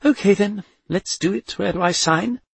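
A man's voice: high-pitched, normal speed, creaky, husky, pitched above the performer's own voice, heavily acted. A man voicing a feisty, foul-mouthed older aunt who grumbles and demands answers in an audiobook. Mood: indignant. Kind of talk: audiobook character voice.

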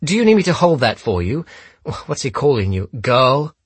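A man's voice: mid-range voice, big pitch swings, fairly quick, husky, no echo, pitched above the performer's own voice. A man voicing a cool, defiant young woman in an audiobook, with a level, sardonic, taunting delivery. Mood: steely sarcasm. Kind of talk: audiobook character dialogue.

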